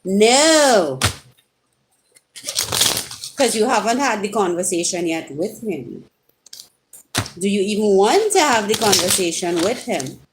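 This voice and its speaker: A woman's voice: mid-range voice, big pitch swings, takes it slowly, long pauses. A Trinidadian woman hosts a live tarot stream, talking casually to her viewers through a webcam mic. She teases them directly and her voice jumps up in sudden exclamations, with a faint hiss of noise underneath. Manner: chatty, teasing viewers directly, rising exclamations. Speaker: tarot reader.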